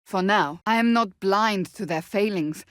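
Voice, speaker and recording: A woman's voice: medium pitch, a little slow, a bit bright, no echo, clean studio sound, natural voice. An actress playing a stern, blunt, devout warrior woman in a fantasy video game, speaking in a formal, clipped, earnest way. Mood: grave, matter-of-fact.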